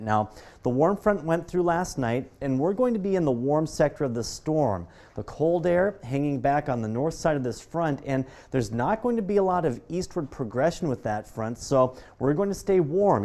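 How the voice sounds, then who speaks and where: An American man works as a television weather forecaster. His voice sits at a medium pitch. He explains conditions informally, in a conversational live-broadcast delivery. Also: mellow.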